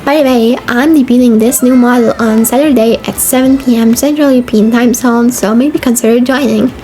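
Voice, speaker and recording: A woman's voice: a little high, fairly even intonation, brisk, dark tone. A young woman giving a casual, scripted video essay narration in an informal way, sometimes stumbling over long words. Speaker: video essay host and streamer. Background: background music.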